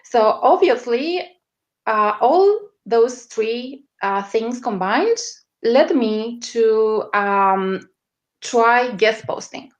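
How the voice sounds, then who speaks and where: A woman with a strong Romanian accent answering interview questions, often pausing on fillers as she searches for words. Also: a little high, expressive, muffled recording. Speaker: guest, media strategist.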